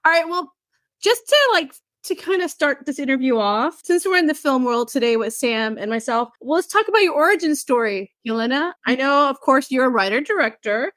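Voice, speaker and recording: A woman's voice: sits high, lively intonation, fairly quick, fairly bright tone, no echo. A woman hosting a podcast, chatting casually and encouragingly as she leads an interview. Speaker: podcast host.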